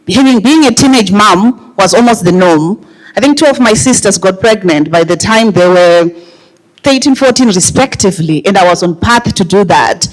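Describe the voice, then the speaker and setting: A woman with a Zimbabwean accent delivers opening remarks at an event. Her voice sits at a middle pitch, and she speaks informally and personally, addressing the room directly. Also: rough recording. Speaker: organization CEO giving opening remarks. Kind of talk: informal opening speech to a live audience.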